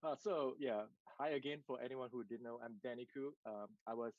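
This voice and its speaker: A man from Malaysia, a games executive, answering interview questions over a video call in a soft, hesitant way with many pauses and fillers. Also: mid-range voice, no echo. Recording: muffled video-call audio.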